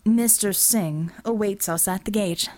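Female reader as smooth-talking male lawyer, crooked and suave, formal phrasing, silky assurance, audiobook fiction. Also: medium pitch, a little slow, a little mellow, natural voice. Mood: polite.

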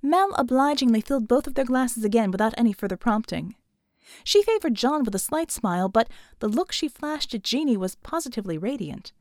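A woman's voice: on the high side, darkish tone, no echo, studio quality. A woman reading scripted fiction as an audiobook narrator.

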